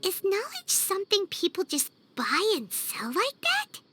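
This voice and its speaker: A woman voicing a tiny, excitable, fretful fairy girl companion in a video game, with a squeaky childlike voice. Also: a little slow, music in the background, heavily acted. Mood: alarmed, suspicious. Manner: exclaiming, refers to herself by name. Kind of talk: animated video game voice acting.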